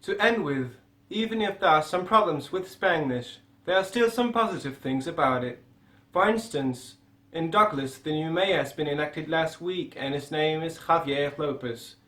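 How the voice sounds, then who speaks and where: A man's voice: on the high side, animated, normal speed, fairly bright tone, roomy sound, poor audio. A young man reading a scripted news report in English as the anchor. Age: young adult.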